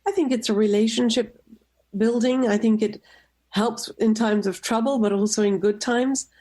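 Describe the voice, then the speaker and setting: A middle-aged woman from Tunisia, a nonprofit director, giving measured, composed answers in a radio interview. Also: fairly high pitch, fairly even intonation, dark tone. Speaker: guest, nonprofit executive director.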